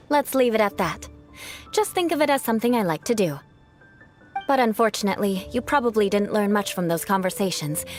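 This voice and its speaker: An actress voicing a proud, reserved young noblewoman knight in a video game, speaking in a formal, measured way. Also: mid-range voice, lively intonation, soft background music, close to an everyday voice. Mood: composed. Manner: formal, measured aristocratic speech.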